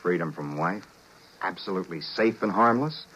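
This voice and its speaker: An actor playing a slangy, jovial, leering office buddy in a 1950s radio drama. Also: male voice, deep voice, moderate pace, fairly bright tone, a little hiss or hum. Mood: jovial, sly. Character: breezy, leering wisecracking office pal, adult man. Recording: muffled vintage 1950s radio recording.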